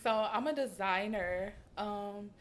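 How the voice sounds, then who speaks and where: A woman in her early twenties, a designer guest, talking in a relaxed, reflective way with many "like" fillers. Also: medium pitch, slow. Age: 20s. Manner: relaxed and reflective, lots of "like" fillers, trails off softly. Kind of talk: casual interview chat.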